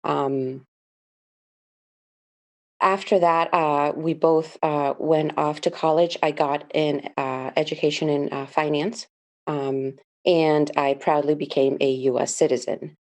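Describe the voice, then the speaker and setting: A woman's voice: low-pitched, fairly even intonation, takes it slowly, darkish tone. A woman in her thirties from the Dominican Republic, giving an earnest opening statement as a school board candidate, with frequent fillers.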